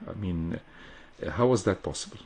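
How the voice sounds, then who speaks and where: Deep, husky radio host. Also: male voice, mellow. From Egypt. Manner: formal, halting, many fillers. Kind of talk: radio interview questions. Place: radio studio.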